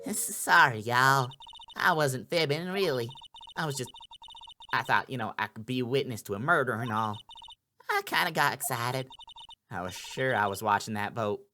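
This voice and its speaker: A man's voice: medium pitch, moderate pace, bright, forceful tone, faint music behind, lightly acted. A man voicing a brash, flustered Southern woman witness in a courtroom game, with a folksy, indignant, stammering manner and a Southern US accent. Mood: sheepish.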